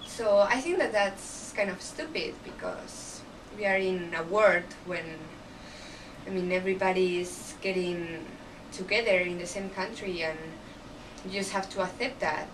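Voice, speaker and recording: A woman's voice: lowish voice, measured pace. Spanish woman in broken English, noisy. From Spain, Madrid. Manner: earnest, talks in loose, broken English. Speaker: interviewee. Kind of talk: casual interview answers. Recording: distant mic with background noise.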